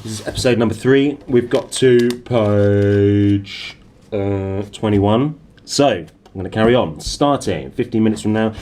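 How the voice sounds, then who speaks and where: A man's voice: fairly low pitch, measured pace, darkish tone. A man in his thirties reading a novel aloud in a small room. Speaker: reader. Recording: clearly noisy room recording.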